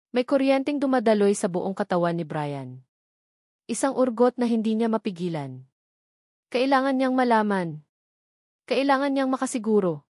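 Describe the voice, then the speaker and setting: A woman's voice: medium pitch, a little mellow, no echo, clean studio sound. A woman storyteller giving a scripted, dramatic narration of a romance story.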